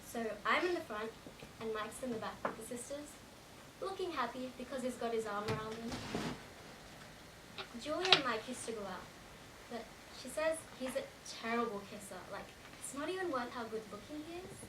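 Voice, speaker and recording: In an echoey room, away from the mic, a young woman acts out a shaken teenage girl telling her story. Her voice is high and bright. She speaks in colloquial run-on sentences, as in a stage monologue. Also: animated, moderate pace, close to an everyday voice. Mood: uneasy, chatty. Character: shaken teenage girl recounting a car crash. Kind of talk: dramatic stage monologue, first-person storytelling. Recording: echoey room, distant mic, faint noise.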